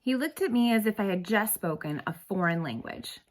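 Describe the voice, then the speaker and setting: A woman narrating a storybook read-aloud with expressive, dramatic phrasing. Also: medium pitch, brisk.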